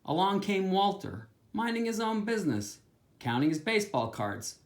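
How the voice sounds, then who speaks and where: Expressive man reading a children's story. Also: sits high, normal speed. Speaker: pastor. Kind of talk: reading a children's book aloud.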